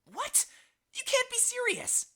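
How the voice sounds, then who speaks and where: Very high, indignant fantasy game voice. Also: female voice, slow, sharp tone, heavily acted. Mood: outraged. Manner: outraged, protesting. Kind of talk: visual novel voice acting.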